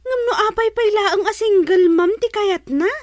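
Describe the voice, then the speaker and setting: A woman plays a sneering young daughter in an audio drama. Her voice is extremely high and catty, captured with very little room sound. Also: moderate pace, darkish tone, dry recording, studio quality. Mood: incredulous, mocking. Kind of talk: Ilocano audio drama acting.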